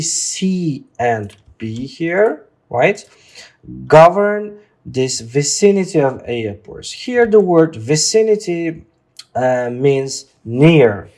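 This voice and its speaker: A man tutoring informally through an exam exercise, speaking haltingly and often checking in with a questioning tag. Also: fairly high pitch, darkish tone. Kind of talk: informal lesson, walking through a reading test.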